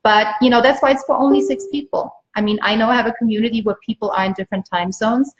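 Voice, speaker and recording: A woman from Austria, a native German speaker, speaks as a business coach giving an emphatic, repetitive motivational pitch on a livestream. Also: fairly even intonation, fast talker. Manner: emphatic, repeats phrases for stress. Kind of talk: motivational live sales pitch to viewers. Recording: dull lo-fi livestream audio.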